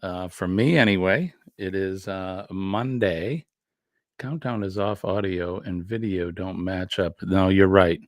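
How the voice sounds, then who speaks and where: A man's voice: sits low, slow, dry recording, clean studio sound. A man hosting a casual morning livestream, greeting viewers in an easygoing, joking way with many fillers. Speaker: livestream host.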